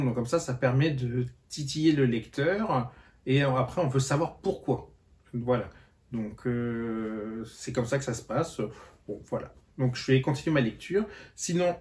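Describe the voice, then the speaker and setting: A man's voice: mid-range voice, a little mellow. A tired man chatting casually in a book vlog, hesitant and full of fillers and restarts. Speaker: book vlogger. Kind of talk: casual reading-update vlog.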